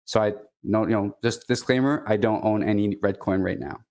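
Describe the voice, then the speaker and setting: Man, Quebecois French accent, native French speaker, lowish voice, halting casual chat with lots of restarts. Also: darkish tone. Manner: halting, many restarts and fillers. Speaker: guest.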